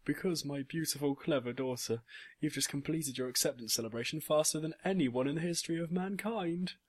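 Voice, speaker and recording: A man's voice: fairly high pitch, a little fast, voice pushed up, close to an everyday voice. A man voicing a devoted, proud father who speaks passionately in a story. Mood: proud, emotional. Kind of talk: audiobook character dialogue.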